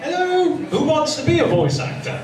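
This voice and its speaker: A man addressing a panel audience in a lively way, recorded from a distance in an echoing room. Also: very high voice, moderate pace. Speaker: convention panelist, experienced voice actor. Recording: distant, echoey room with crowd noise.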